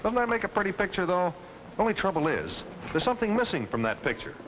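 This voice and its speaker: A man's voice: sits high, big pitch swings, fast. A man delivering a folksy, chatty 1950s television car commercial as its announcer. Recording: noisy old 1950s television soundtrack.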